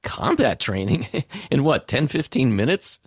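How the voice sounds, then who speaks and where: Audio drama hero, male actor: hesitant, excitable teenage boy, unhurried, husky, dry recording. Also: mid-range voice, no echo, heavily acted. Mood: incredulous. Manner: casual, hesitant.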